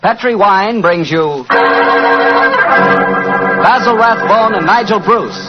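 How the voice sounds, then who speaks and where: An old, dull-sounding radio recording with light noise carries an American man as announcer. His voice is on the high side and very animated in pitch. He sells in a smooth, folksy 1940s broadcast manner. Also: slow pace, over loud music. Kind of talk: 1940s radio announcing and scripted sponsor banter.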